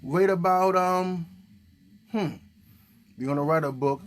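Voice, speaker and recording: A man presenting a children's puppet show, playfully coaxing with questions and hesitant pauses. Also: on the high side, slight background noise.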